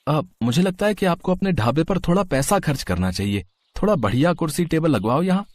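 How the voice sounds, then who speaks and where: A man voicing a poor but shrewd carpenter father, coaxing and ingratiating, in an animated moral story. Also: on the high side, brisk, no echo. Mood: coaxing, persuasive.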